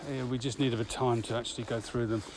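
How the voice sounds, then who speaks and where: A middle-aged man co-hosting a podcast, talking casually while walking outdoors, a bit breathy and lively when he rants. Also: mid-range voice, very fast, rough recording. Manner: slightly breathy from walking, animated when ranting. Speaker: podcast co-host, virtual training company. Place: outdoors, windy. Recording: loud outdoor wind noise.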